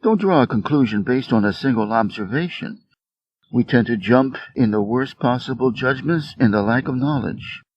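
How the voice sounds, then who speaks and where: A man giving a measured, scripted reading of short fables for English learners, enunciating each phrase. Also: medium pitch, animated, slightly rough, highs cut off. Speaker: story reader.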